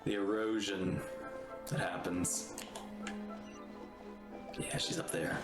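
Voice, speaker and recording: Slow-talking man in a roomy space. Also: deep voice, level tone. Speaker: streamer reacting to a game. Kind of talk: casual live commentary. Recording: roomy echo, game music behind. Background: video game music.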